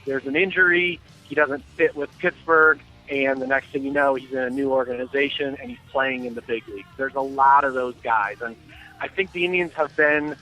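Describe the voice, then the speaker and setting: A man with an American accent talks as a guest analyst on sports radio. His voice is sharp and bright, his delivery measured and halting, with faint music behind him. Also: medium pitch, poor audio. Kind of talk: interview commentary.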